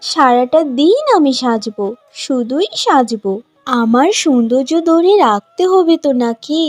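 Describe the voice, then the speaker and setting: Woman playing a high-pitched, vain young bride. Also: expressive, measured pace, dry recording, faint music behind. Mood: vain, preening. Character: vain, haughty, beautiful young new bride. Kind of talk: cartoon voice acting.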